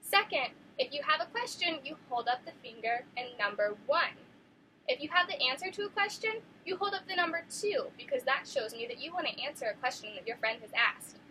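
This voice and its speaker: A young woman teaching a class, reading a story aloud to children in an encouraging, animated way and stopping to ask them questions. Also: high voice, fairly quick, slight background noise, rough recording. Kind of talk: classroom read-aloud lesson.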